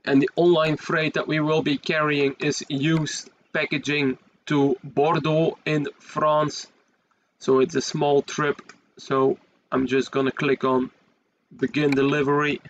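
A man's voice: on the high side, little pitch movement, unhurried, highs cut off. A young man from Belgium with a Dutch accent, a native Dutch speaker, giving casual, hesitant gameplay commentary in English. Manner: plain, hesitant, with frequent fillers. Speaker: gaming YouTuber. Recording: game truck sounds underneath. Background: loud truck engine and road noise.

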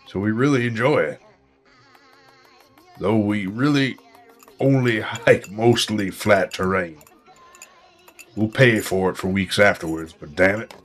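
A man's voice: fairly low pitch, a bit bright. An actor playing a genial man in his seventies in an audio drama, chatting in a folksy, rambling way. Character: portly, genial grandfatherly traveler in his seventies. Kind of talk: audio drama dialogue as a casual interview.

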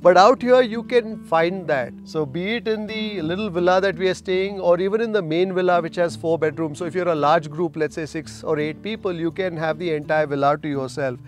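A man's voice: sits high, fairly quick, hardly any pauses. A middle-aged man, talking casually to camera outdoors as a travel vlogger, fluent and chatty with frequent fillers. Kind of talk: casual vlog narration to camera. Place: outdoors in a garden.

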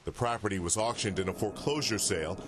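A man narrating a TV news report in a steady, measured way. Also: lowish voice, a crowd in the background.

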